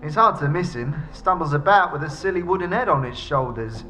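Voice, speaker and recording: A man's voice: a little high, lively intonation, brisk, pushed and bright, faint music behind, voice pushed up. A man voicing a cheerful, eccentric little bodiless fellow in a box for a fantasy game audio drama, with chirpy, old-fashioned politeness. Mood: chatty.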